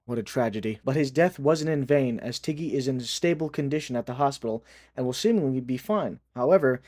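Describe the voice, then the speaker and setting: A man's voice: medium pitch, a little fast, a little mellow, no echo, studio quality. A man hosting a video, reading scripted recap commentary. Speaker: video host.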